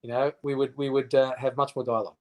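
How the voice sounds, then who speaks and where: Aussie guy, 50s, ums and restarts all over, pushes his points, middle pitch. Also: level tone. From Australia. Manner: halting, many fillers and restarts, emphatic. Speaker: interview guest. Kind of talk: casual interview chat.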